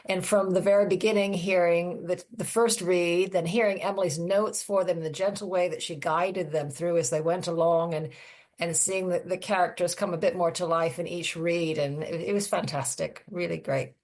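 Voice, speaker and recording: A woman giving casual interview answers as a guest, halting as she thinks aloud. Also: on the low side, fairly even intonation, a little mellow.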